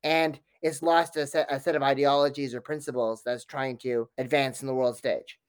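A man's voice: medium pitch, flat delivery, normal speed, smooth, no echo, clean studio sound. A man speaking as an academic guest in an interview, giving fluent, detailed explanations.

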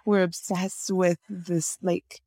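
A woman's voice: lowish voice, flat delivery, slow pace, dark tone, no room sound, clean studio sound. A middle-aged woman from Nigeria, a naturopathic physician, talking thoughtfully in an interview and laughing often. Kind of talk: conversational interview.

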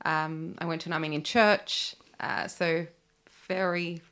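Earnest young woman, low voice. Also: fairly even intonation, a little slow, fairly bright tone, clean tone. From Australia. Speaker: guest. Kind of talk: podcast interview.

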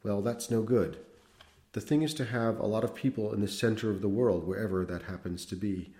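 A man's voice: fairly low pitch, fairly quick, dark tone, natural voice. A man voicing an agitated, unhinged middle-aged priest who rambles feverishly and insistently in an audiobook. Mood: rambling. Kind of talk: audiobook character voice. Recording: roomy echo.

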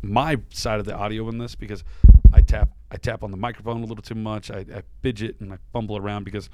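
A man's voice: lowish voice, flat delivery, fast, very noisy. A man hosting a casual podcast, rambling with lots of fillers and restarts, tired and a little dry-voiced. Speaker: podcast host. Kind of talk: casual podcast chat and interview.

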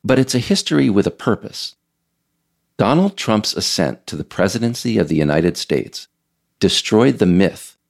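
A man hosting a podcast series, reading scripted narration in a measured, emphatic, explanatory way. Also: deep voice, creaky, darkish tone. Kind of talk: scripted narration, audio essay read aloud.

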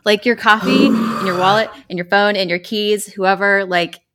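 A woman's voice: mid-range voice, steady tone, normal speed, background noise. Giggly woman chatting casually on a podcast. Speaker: librarian and podcast co-host. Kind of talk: casual group chat.

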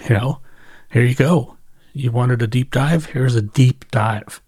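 A middle-aged man with a husky voice speaks into a dry, close recording. He talks like a podcast host leaving a long, personal voice message, casual and reflective, often rephrasing as he goes. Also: medium pitch, unhurried, darkish tone, dry recording. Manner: conversational, thinking aloud, self-correcting. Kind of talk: casual reflective solo audio monologue.

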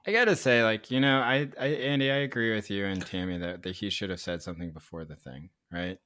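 Co-host, male, lower register, outspoken and animated, casual swearing. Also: fairly quick. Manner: animated, swearing, laughing, bursts into loud asides. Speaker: podcast co-host. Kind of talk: casual chat.

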